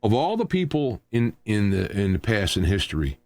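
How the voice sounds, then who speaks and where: American man, middle-aged or older, talk radio commentary, emphatic, very lively intonation, dry studio-like sound. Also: on the low side, normal speed, dry recording. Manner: animated, argumentative, emphatic. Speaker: radio talk show host. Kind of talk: unscripted political commentary rant.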